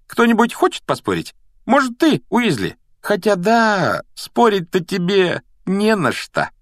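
A man's voice: high voice, wildly swooping pitch, unhurried, no room sound, clean studio sound, voice pushed up, full character voice. A man voicing a spoiled, mocking schoolboy bully who drawls his taunts in a storybook reading.

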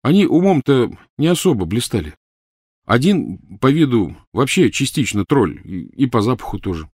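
A man's voice: mid-range voice, sing-song, normal speed, darkish tone, husky, no room sound, studio quality, pitched above the performer's own voice. A man voicing a defensive, talkative teenage boy wizard pleading his case in an audiobook reading.

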